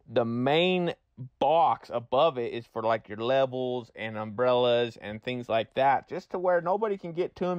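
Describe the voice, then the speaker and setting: A man's voice: mid-range voice, no echo, dull audio. Man casually explaining a build, dry sound. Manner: explanatory, informal, addresses viewers directly. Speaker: host, welder. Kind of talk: how-to build narration, casual.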